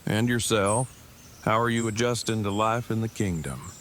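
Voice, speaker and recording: A man playing a stiffly formal, low-voiced engineer. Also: normal speed, fairly bright tone, slight background noise, lightly acted. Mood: polite, reserved. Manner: verbose, stilted, formal phrasing. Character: stiffly formal, earnest, awkward brainy male engineer. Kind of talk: fan audio drama dialogue.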